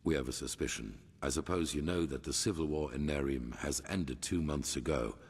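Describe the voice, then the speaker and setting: An actor playing a grave, commanding older grandmaster in a fantasy game, speaking formally and solemnly. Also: male voice, moderate pace, heavily acted. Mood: stern, measured. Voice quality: deep, gravelly. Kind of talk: cinematic fantasy game voice acting. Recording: long hall reverb, music behind.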